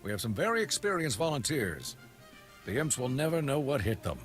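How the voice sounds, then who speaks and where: An actor playing a gung-ho military commander with a rousing, martial delivery in a sci-fi video game. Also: male voice, mid-range voice, sing-song, fairly quick, fairly bright tone. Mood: rallying. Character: gung-ho male military commander rallying his troops. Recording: music behind the voice.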